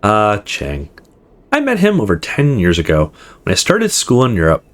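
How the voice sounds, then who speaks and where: A man with a slightly husky voice reading a visual novel story aloud in an expressive first-person narration. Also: on the low side, normal speed.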